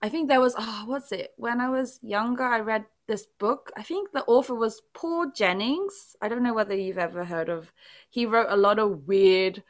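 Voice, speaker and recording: A woman's voice: a little high, normal speed. Chatty woman telling stories. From England. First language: not English. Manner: animated storytelling, rambling with many "like"s. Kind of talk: casual chat.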